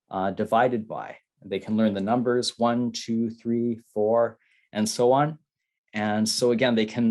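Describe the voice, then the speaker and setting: A man from Canada teaching beginners in slow, simple English, repeating his phrases. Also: mid-range voice, a little mellow.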